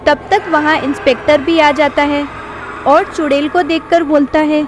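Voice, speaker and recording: A woman's voice: high-pitched, fairly even intonation, moderate pace, noisy background. A woman narrating a scripted horror story. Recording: background music.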